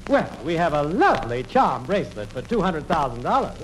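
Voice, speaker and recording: Haughty American shop salesman, high pitch, lively intonation, vintage radio comedy, old recording. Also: a little fast, poor audio. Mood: dry, haughty. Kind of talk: 1940s radio comedy acting. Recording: old 1940s radio recording, some noise.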